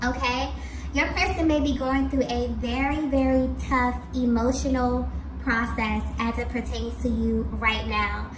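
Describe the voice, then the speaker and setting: A woman giving an intuitive tarot reading straight to the listener, earnest, in halting phrases with frequent check-ins. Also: on the high side, little pitch movement. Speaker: tarot reader. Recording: roomy, distant mic, clear background noise.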